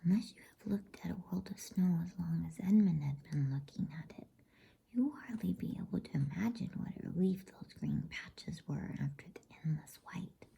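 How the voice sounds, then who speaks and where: A woman reading a novel aloud as a bedtime story in a hushed, unvoiced ASMR whisper. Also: on the low side, normal speed, vocal fry, husky.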